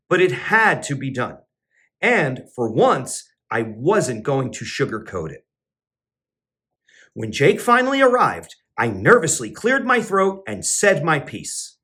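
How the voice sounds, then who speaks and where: Animated man reading a children's story. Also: unhurried. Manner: expressive storybook narration with sing-song pitch swings. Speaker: teacher and children's entertainer. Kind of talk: children's read-aloud.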